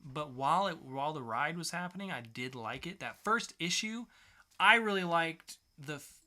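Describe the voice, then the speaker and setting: A man's voice: a little high, expressive, normal speed, bright, forceful tone. A man hosting a podcast segment in a casual, conversational style, sometimes swearing. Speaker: podcast host.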